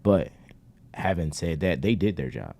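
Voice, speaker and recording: A man's voice: low voice, animated, measured pace, creaky, mellow. A man in his thirties talking in casual podcast chat, earnest and preachy in long winding runs. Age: 30s. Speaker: podcast co-host.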